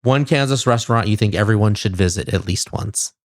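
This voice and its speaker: A man hosting a casual interview, asking quick questions in an upbeat, easygoing way. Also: fairly low pitch, dry recording, clean studio sound. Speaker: podcast host.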